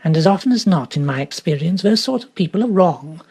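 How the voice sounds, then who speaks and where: A man's voice: high-pitched, animated, brisk, a little mellow, slightly rough, heavily acted. A man voicing a forthright, stubborn elderly English lady who speaks emphatically. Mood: insistent. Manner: emphatic, insistent.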